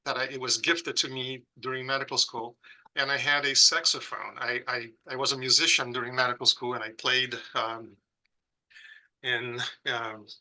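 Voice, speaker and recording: Man, 40s, Brazilian accent; mid-pitched, very bright tone; thoughtful guest, halting delivery. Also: flat delivery, low-quality recording. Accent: Brazilian Portuguese. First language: Portuguese. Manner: reflective, frequent fillers and restarts. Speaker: guest, pediatric critical care physician. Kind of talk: casual interview conversation.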